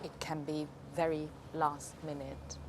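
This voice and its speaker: Middle-aged woman, Hungarian accent, low pitch, halting casual storytelling, noisy ambient recording. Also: little pitch movement. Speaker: documentary interviewee. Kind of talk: casual personal storytelling to camera.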